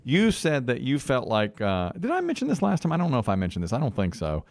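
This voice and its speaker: A man's voice: mid-range voice, wildly swooping pitch, fast talker, a little mellow, dry recording, clean studio sound. A middle-aged man co-hosting a podcast, talking casually about sports and culture. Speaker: podcast co-host.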